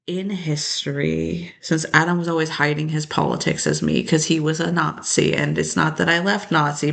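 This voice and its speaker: A vlogger rambling to camera in a gravelly, fry-laced voice that sits at a middle pitch. The talk drifts from one idea to the next and often trails off mid-thought. It sounds loose and unscripted. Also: fairly bright tone. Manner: rambling, jumps between topics, trails off. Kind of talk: casual rambling monologue to camera.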